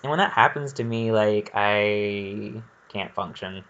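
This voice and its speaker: Slow-talking, bright-voiced man rambling over gameplay. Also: on the low side, dull audio. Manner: candid, hesitant, thinking aloud. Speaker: gaming video creator. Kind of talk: casual rambling gameplay commentary.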